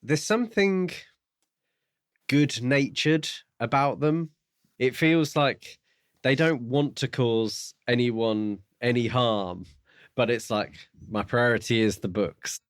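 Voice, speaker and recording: A man's voice: medium pitch, slow, clean tone, dry recording, clean studio sound. A man co-hosting a podcast in a casual discussion about a book. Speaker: podcast co-host.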